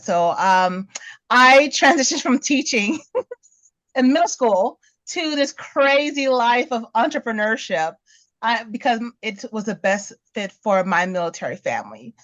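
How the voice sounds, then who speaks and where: A woman's voice: fairly high pitch, very animated, normal speed, fairly bright tone, no room sound, muffled recording. A woman business coach introducing herself on a panel in an upbeat way, laughing easily.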